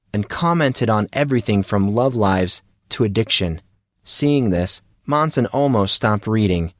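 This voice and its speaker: A man's voice: on the low side, dry recording, clean studio sound. A man reading a scripted story as its narrator.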